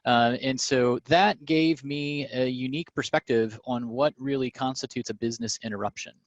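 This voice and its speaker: Man, from Louisiana, medium pitch, conversational webinar presenter, dry close sound. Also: fairly even intonation, normal speed, no room sound, dull audio. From southern Louisiana, United States. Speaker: presenter, small business cybersecurity advisor. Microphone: remote conference call.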